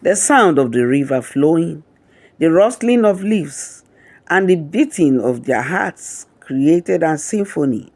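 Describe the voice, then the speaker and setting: Male narrator, slightly high pitch, scripted folktale storytelling, measured dramatic delivery, dry close sound. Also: a little mellow, no echo.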